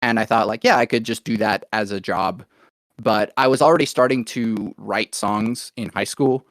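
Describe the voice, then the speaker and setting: A man with an American accent telling his own story in a casual, rambling interview style, as if thinking out loud. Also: on the low side, normal speed, dry recording, studio quality. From Oklahoma, United States, born in Venezuela. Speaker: podcast guest, musician and producer.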